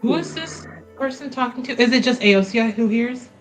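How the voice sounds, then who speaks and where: A woman's voice: fairly high pitch, normal speed, soft background music. A woman playing in a tabletop game, explaining her character's actions in a chatty, filler-heavy way. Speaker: player. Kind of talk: casual roleplay chat.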